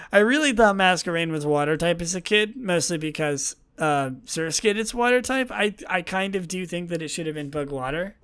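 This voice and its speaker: Hoarse young man, rambling podcast host. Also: sits high, animated, normal speed, fairly bright tone. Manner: rambling, self-correcting, dryly sarcastic. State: hoarse, voice worn from talking all day. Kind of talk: casual solo podcast talk.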